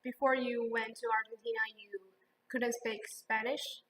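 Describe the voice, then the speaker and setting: Bright-voiced young student interviewer. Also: female voice, fairly high pitch, level tone, moderate pace, low-quality recording. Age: 20s. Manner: friendly, asks simple questions, small grammar slips. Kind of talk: casual interview questions.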